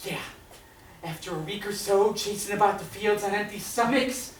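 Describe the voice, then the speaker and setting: A woman playing a manic, bitter male artilleryman in an old-time radio drama, stammering and excitable, given to shouting. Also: medium pitch, moderate pace, natural voice. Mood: bitter, sardonic. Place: stage performance in a roomy theatre. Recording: echoey stage, captured from far off.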